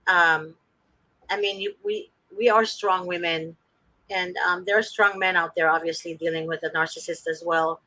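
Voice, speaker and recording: A woman hosts a casual talk show with passion, leaning into a sermon-like tone. Her voice is bright and sharp, and the mic is close, with no echo. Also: fairly low pitch. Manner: passionate, preachy, quotes scripture.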